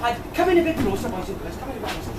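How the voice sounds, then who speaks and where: A woman's voice: a little high, very animated, racing. A woman playing Buttons, a cheeky, chatty, lovelorn Welsh servant lad in a pantomime, rambling colloquially to the audience. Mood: chatty, confiding. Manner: colloquial, rambling, tag questions. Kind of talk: British pantomime, bantering with the audience. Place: tavern stage performance. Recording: distant, echoey amateur video with crowd noise.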